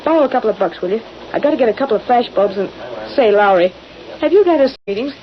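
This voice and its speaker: A woman acts a brash, plucky American news photographer in an old radio drama. She rattles off snappy, bossy wisecracks in a fairly high voice on a dull, slightly hissy recording. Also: animated, fast, lightly acted. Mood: brisk, bossy. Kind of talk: 1930s-40s radio acting.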